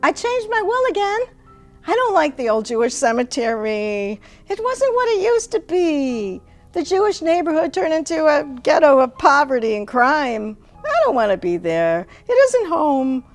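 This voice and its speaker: Woman putting on an emphatic, high-pitched elderly mother. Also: very animated, normal speed, soft background music. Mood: insistent. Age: 70s. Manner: emphatic, abrupt declarations.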